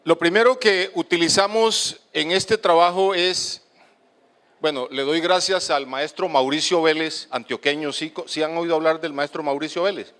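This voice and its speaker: A middle-aged man from the Colombian Caribbean coast, with a coastal accent mixed with Medellín's, giving a halting lecture to an auditorium with many fillers. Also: fairly high pitch, fairly even intonation, fairly bright tone. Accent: Colombian, coastal mixed with Medellín paisa. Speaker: speaker at a lecture. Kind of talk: lecture to an audience.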